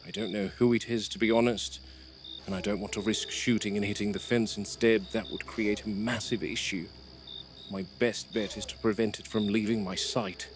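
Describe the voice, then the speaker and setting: A man's voice: on the low side, fairly even intonation, moderate pace, background noise, close to an everyday voice. A man acting as a dogged game warden in a found-footage horror log, muttering urgently to himself and swearing as he tracks an escaped animal. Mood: wary, focused.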